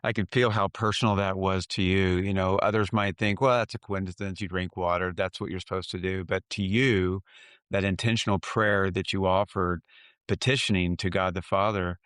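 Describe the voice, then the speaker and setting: A podcast host with a low, smooth and clear voice. He affirms his guest's story and asks gentle follow-up questions, recorded dry and close. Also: steady tone, normal speed, dry recording. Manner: affirming, reflective. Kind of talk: interviewing.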